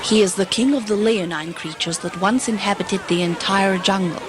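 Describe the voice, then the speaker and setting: A woman playing a commanding, wise and mysterious leader, speaking gravely and with authority in a sci-fi audio drama. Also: mid-range voice, moderate pace, lightly acted. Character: commanding, wise mysterious lady leading two companions. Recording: noisy outdoor amateur recording with crowd.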